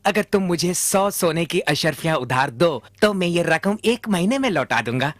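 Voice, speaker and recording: A man's voice: on the high side, expressive, normal speed, no echo, lightly acted. A man playing a deferential, ingratiating trader in a Hindi cartoon. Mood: chummy, ingratiating. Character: smooth-talking, deferential male trader protesting his innocence.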